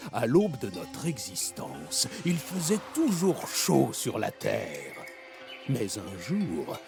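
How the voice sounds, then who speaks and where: A patient, solemn old animal storyteller in a children's cartoon. The delivery is slow and measured, with a creaky grain and lively melody, over soft music. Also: male voice, medium pitch, exaggerated voice. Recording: light music behind.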